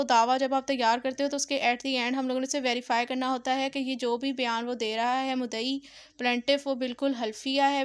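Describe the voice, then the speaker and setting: A woman's voice: high-pitched, smooth. A woman with a Pakistani accent giving an explanatory law lecture, reading legal text aloud and explaining it in an instructional way.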